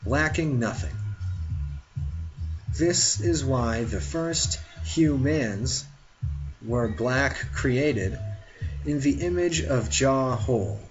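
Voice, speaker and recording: A man reads spiritual teachings at a slow, measured pace, pausing between short phrases. His pitch is average for a man. The recording is dull and lo-fi, with faint music playing behind him. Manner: deliberate, broken into short phrases. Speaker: reader. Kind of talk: slow read-aloud of religious teachings with brief asides.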